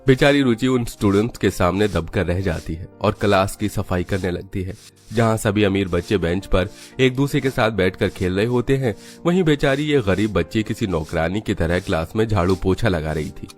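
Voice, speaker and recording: A man's voice: lowish voice, normal speed, faint music behind. A man reading scripted narration for a children's moral story.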